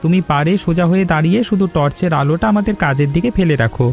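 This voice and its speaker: An eager young farm lad in a cartoon, played by a man. His voice is high for a male, willing and helpful, with music behind it. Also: racing, darkish tone, lightly acted. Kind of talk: scripted cartoon dialogue.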